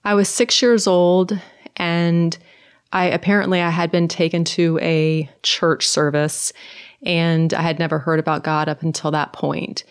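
A middle-aged woman from Norway with a Norwegian accent, soft-spoken and hesitant, telling her personal story in a conversation. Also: lowish voice, flat delivery, a little slow, smooth. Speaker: guest.

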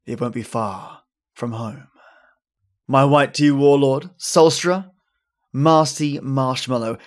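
A man hosting a podcast, delivering scripted thanks and stories in a dramatic way with storytelling pauses. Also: mid-range voice, darkish tone. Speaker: podcast host. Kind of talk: theatrical scripted narration and listener thanks.

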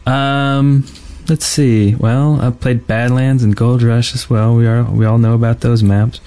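A man's voice: mid-range voice, flat delivery, unhurried, mellow, clear, resonant tone. Hesitant man chatting casually about games. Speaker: podcast co-host. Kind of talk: casual gaming podcast chat.

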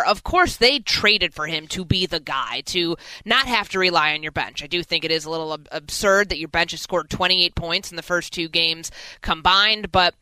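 A woman's voice: fairly low pitch, sharp tone, clean tone, no room sound, rough recording. An American woman hosting a sports talk radio show, giving commentary.

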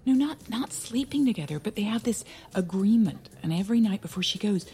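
A woman's voice: mid-range voice, lively intonation, a little fast, warm tone, husky. A woman playing a brash, gossipy married friend in an audio drama, talking in a knowing, blunt, teasing way. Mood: gossipy, gleeful. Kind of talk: naturalistic audio drama dialogue. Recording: music playing behind the voice.

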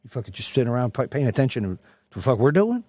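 A man giving a brash, profane, emphatic motivational monologue in a husky voice, repeating phrases for punch. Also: medium pitch, sing-song, quick pace, a little mellow, no room sound. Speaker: motivational speaker and host.

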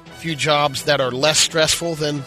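A man's voice: on the high side, steady tone, normal speed, sharp tone, soft background music. A man co-hosting a radio show, reading out news items amid casual banter.

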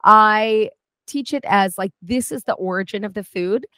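A woman in her forties, guest on a chat show, telling a lively story with many restarts and asides. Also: medium pitch, unhurried, no room sound, studio quality. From United States. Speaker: guest, food historian and cooking teacher. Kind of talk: casual interview chat.

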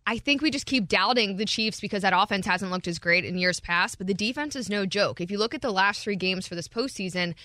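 A woman with a Philadelphia accent, a sports betting analyst chatting as a guest in a radio studio, talking fast and chatty. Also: medium pitch, sharp tone, dry recording. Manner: fast, chatty, laughing storyteller.

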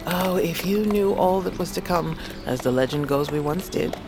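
Creaky-voiced wise old witch played by a woman. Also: lowish voice, noisy background, lightly acted. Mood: musing. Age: older. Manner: measured, storytelling, cryptic. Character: wise, wry old healer witch, stern grandmother. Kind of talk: audio fiction fantasy drama acting. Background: music behind the voice.

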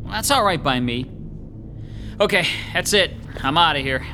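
A man's voice: fairly high pitch, animated, normal speed, bright, forceful tone, slight background noise. An actor playing a slangy, grumbling, middle-aged male New York lackey in an audio horror drama. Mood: casual.

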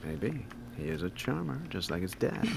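A man's voice: low-pitched, very animated, moderate pace, gravelly, very noisy, full character voice. An actor playing an easygoing, teasing father with a wry manner in an audio drama. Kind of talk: naturalistic audio drama dialogue.

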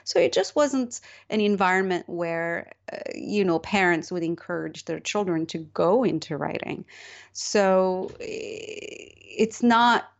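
A woman's voice: fairly low pitch, takes it slowly, creaky, highs cut off. A middle-aged woman from Morocco, a novelist being interviewed, speaking thoughtfully with many fillers and restarts. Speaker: novelist guest. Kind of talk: interview conversation.

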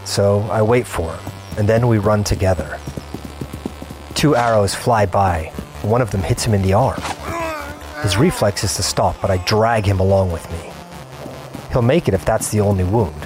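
A man's voice: on the low side, normal speed, music in the background, lightly acted, rough recording. A young man playing a seasoned gamer, narrating his adventure in the first person in a conversational audio drama style. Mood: tense narration. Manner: conversational, reflective. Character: wary, seasoned male gamer recounting his virtual adventure. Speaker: narrator and lead character.

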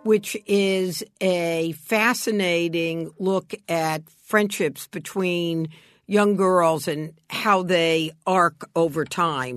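Woman podcast host with a lower, dry voice. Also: dry recording. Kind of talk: scripted podcast introduction and interview.

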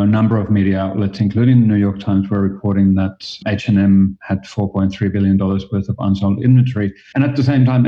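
A man in his forties from Finland with a Finnish accent, speaking as a guest on an interview podcast in a thoughtful, measured way. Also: lowish voice, mellow, dull audio. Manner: thoughtful, measured, with occasional restarts. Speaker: guest, fashion educator.

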